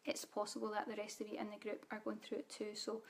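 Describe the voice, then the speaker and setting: A Scottish woman leading a live online spiritual training, speaking softly and calmly, guiding listeners with frequent ums. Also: medium pitch, fast. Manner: soft guided-meditation delivery with fillers. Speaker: spiritual teacher.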